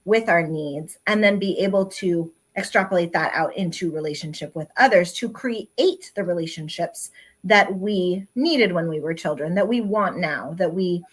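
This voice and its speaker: Earnest lower-voiced woman explaining. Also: normal speed, husky. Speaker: guest expert. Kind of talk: casual chat.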